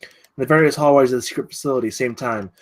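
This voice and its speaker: A man's voice: mid-range voice, fast. A man reading stage directions at a screenplay table read, in a dramatic, clipped way.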